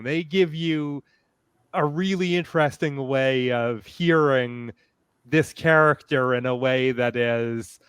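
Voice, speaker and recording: A man speaking as a panelist in a casual discussion, analytical and detailed in a measured way. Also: mid-range voice, clean tone, no echo.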